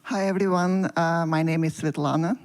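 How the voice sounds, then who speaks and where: A woman from Ukraine with a Ukrainian accent, hesitantly introducing herself with many pauses and fillers. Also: fairly low pitch, fairly even intonation. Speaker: visiting congregant. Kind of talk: brief self-introduction.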